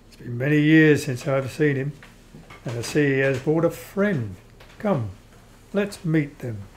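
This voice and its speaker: A measured, polite son of vampires played by a man in a radio play. He speaks slowly in a slightly high male voice, as if explaining things with care. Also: slight background noise, close to an everyday voice. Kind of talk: amateur radio play acting.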